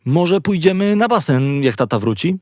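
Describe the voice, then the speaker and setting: A man's voice: on the high side, big pitch swings, moderate pace, a little mellow, no room sound, clean studio sound, voice pushed up. A man plays a concerned, bossy aunt in a children's storybook. The voice is lifted well above a plain male register. The delivery is firm and motherly, with exclamations. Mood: firm, scolding. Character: bossy, concerned aunt scolding her gaming son.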